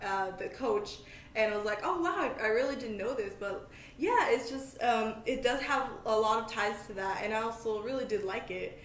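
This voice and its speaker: Echoey, scatterbrained woman podcaster chatting. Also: fairly high pitch. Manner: scatterbrained, self-deprecating, jokey. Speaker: podcast host. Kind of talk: casual, chatty podcast talk. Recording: echoey room, faint background noise.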